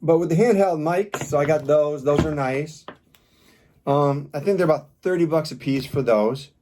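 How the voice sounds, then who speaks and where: A man's voice: a little high, moderate pace, noisy background. A man doing an informal product review, talking casually as he demonstrates gear step by step.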